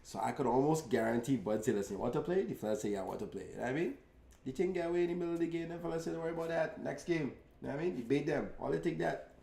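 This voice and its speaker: Gravelly man, teasing banter. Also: on the high side, animated, very fast, mellow. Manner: teasing, playful, leans on "you know what I mean". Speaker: podcast co-host. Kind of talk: casual sports banter. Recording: echoey room, mic at a distance.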